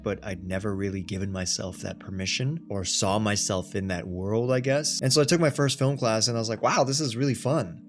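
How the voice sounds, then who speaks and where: A man in his thirties from Thailand, talking casually and self-deprecatingly about his life in a personal vlog. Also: on the low side. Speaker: actor and content creator. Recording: faint background music.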